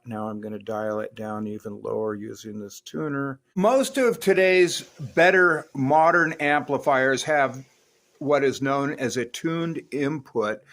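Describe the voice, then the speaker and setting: A man presenting a hands-on product demo with an enthusiastic manner. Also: mid-range voice. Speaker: host demonstrating radio gear.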